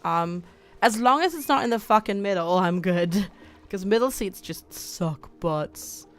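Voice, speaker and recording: A woman's voice: medium pitch, expressive, a little slow, faint music behind. A young woman from Germany chatting casually with her viewers while playing a game on a livestream, reading out chat and laughing often. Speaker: streamer.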